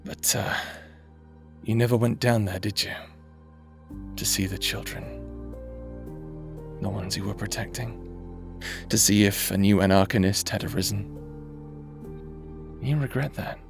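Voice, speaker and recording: Man acting a slow, gravelly, wistful lover. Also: lowish voice, steady tone, over music. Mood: wistful, probing. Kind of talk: dramatic scripted audio roleplay.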